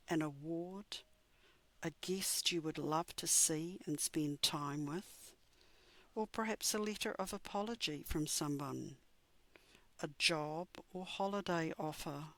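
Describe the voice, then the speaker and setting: A woman with a low voice guides a meditation at an unhurried, slow pace. She reads in a soothing, measured way and leaves deliberate pauses between phrases. The sound is dry and close. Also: fairly bright tone, dry recording.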